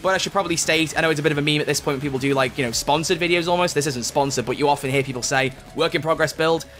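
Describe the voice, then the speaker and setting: UK gaming YouTuber, male, rapid delivery, bit higher than average, voice slightly off from illness, chatty voice-over. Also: a bit bright, slight background noise. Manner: upbeat, chatty, self-correcting. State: voice affected by illness. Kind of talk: video game update breakdown.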